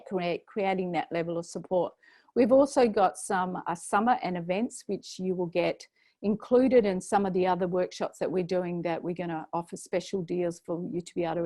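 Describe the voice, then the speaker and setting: A woman with an Australian accent presenting a paid program in a chatty, filler-heavy way, her voice going slightly hoarse. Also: lowish voice, warm tone, no echo. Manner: chatty, many fillers and restarts. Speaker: program host presenting an offer. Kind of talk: webinar sales pitch.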